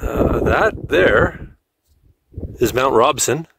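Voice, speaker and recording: Slow-talking man narrating outdoors. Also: medium pitch, creaky. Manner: relaxed, unhurried commentary with pauses. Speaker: vlogger. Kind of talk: casual travel narration. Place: in a vehicle, then outdoors on a mountain summit. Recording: outdoor camera audio with noise.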